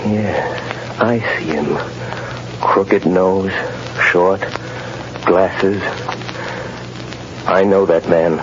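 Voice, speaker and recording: Mysterious intense vigilante, old radio drama, very low voice, dull muffled recording. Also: male voice, fairly even intonation, noisy background. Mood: intense concentration. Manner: deliberate, imperative, dramatic. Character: mysterious commanding male mind-reading vigilante, urgent and intense. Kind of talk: 1930s-40s radio acting.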